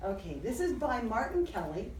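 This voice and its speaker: A woman teacher reading a picture book aloud to young children, animated and encouraging, stopping for simple questions and explanations. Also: fairly high pitch, moderate pace. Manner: animated, encouraging, acts out sound effects. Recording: roomy, distant mic, faint background noise.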